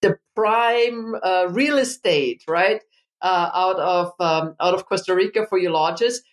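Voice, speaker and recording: A woman's voice: lowish voice, slow pace. Upbeat woman hosting a podcast. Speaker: podcast host. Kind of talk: podcast interview.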